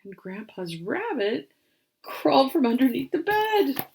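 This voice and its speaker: A woman reads a children's story aloud as a storyteller. Her voice is high and very animated, with theatrical, expressive delivery. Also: a little slow, gravelly, a little hiss or hum. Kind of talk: dramatic children's storybook reading.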